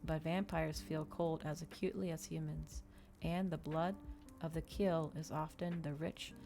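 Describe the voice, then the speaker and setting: A woman reading a story aloud in ASMR style, soft-spoken and measured, as a streamer narrating a novel. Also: fairly low pitch.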